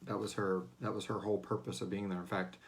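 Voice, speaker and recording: A man's voice: lowish voice, level tone, fast talker, slight background noise. A man hosting a fan Q&A livestream, chatting casually and rambling, with slangy, profane asides and hesitant phrasing. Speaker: host of a serial drama fan Q&A. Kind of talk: casual unscripted livestream chat.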